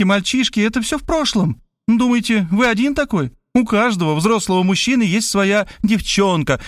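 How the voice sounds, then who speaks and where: A man's voice: high voice, dry recording, studio quality, pitched above the performer's own voice. A man voicing a self-assured woman writer turned amateur sleuth who lectures in a patronizing, matter-of-fact way.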